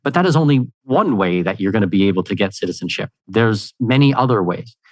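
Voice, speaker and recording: A man from Canada, a podcast guest answering questions in an upbeat, energetic way, with plenty of "you know" fillers. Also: lowish voice, fairly quick, dry recording. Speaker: guest, expat consultant. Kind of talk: conversational interview answers.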